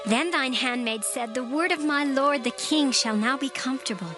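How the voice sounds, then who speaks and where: Actress playing a high-pitched pleading widow, music behind. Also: female voice, normal speed, lightly acted. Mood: earnest pleading. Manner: beseeching, theatrical. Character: pleading, distressed widow woman petitioning a king. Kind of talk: dramatized Bible audio acting.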